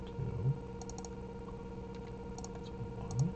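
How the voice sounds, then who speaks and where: A man hosting a tabletop game walkthrough, thinking aloud in a casual, halting way and muttering to himself. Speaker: host of a tabletop RPG video. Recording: noisy room, clearly audible background noise.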